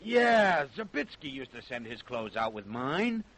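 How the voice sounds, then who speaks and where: An actor in an old-time radio crime drama playing a nervous, talkative butcher with an American accent, earnest and colloquial. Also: male voice, mid-range voice, big pitch swings, unhurried, fairly bright tone, exaggerated voice. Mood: anxious, cooperative. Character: nervous, talkative butcher eager to clear himself.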